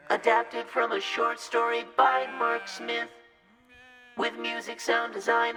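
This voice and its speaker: High-pitched man reading credits slowly. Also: rough recording. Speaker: credits announcer. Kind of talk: scripted credits read. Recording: music behind the voice.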